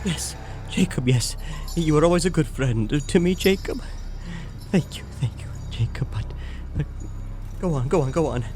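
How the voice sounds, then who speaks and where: A man plays a querulous old miser in a radio play. His voice is fairly high and very changeable in pitch, nervous and pleading, with stammered repeats. Music runs underneath. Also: lively intonation, normal speed, a little mellow, lightly acted. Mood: placating. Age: older. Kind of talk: radio drama acting.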